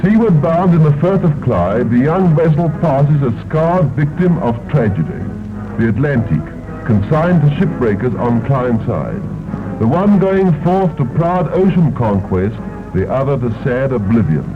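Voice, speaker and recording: A man's voice: mid-range voice, very animated, measured pace. Stately newsreel man, tinny. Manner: stately, ceremonious delivery. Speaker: newsreel narrator. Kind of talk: 1930s newsreel narration. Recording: tinny old film soundtrack with music.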